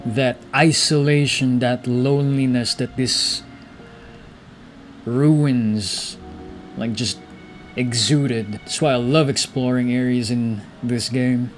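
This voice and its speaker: A man's voice: medium pitch, slow, faint music behind. A man giving casual gameplay commentary and reading story text aloud as he plays. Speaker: gaming streamer.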